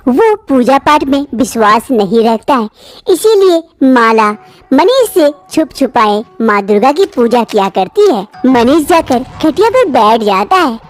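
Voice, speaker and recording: Lady reading a kids moral story, high lively voice, bit of music behind her. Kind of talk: scripted narration of a children's moral story.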